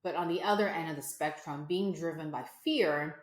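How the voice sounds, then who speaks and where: A woman's voice: deep voice, moderate pace, roomy sound. A woman in her forties, a guest entrepreneur, talking reflectively in an interview with frequent fillers and restarts. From United States. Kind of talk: conversational interview.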